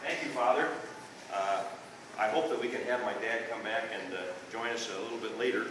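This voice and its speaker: A man's voice: fairly low pitch, brisk, background noise. An older man with a Midwestern accent giving a folksy classroom history talk, often checking in with "okay?", recorded far off in a school room. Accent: Midwestern American. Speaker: re-enactor and teacher. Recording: echoey room from a distance.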